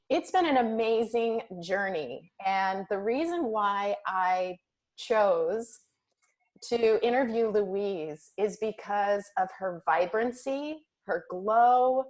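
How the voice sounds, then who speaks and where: A woman hosting a cooking show interview, giving kitchen tips and encouraging her guest. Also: medium pitch. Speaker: host. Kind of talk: casual interview chat.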